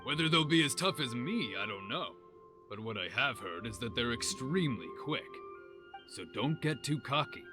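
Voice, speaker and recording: A man playing an earnest, disciplined young fighter in a video game, speaking in a measured way. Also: medium pitch, wildly swooping pitch. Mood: calm resolve. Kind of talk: video game character acting. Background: game music.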